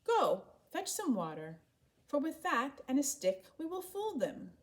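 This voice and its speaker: A woman's voice: high voice, huge pitch swings, a little slow, voice pushed up, heavily acted. A woman voicing an eager, resourceful young village boy in a storybook, earnest and explaining. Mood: friendly, clever.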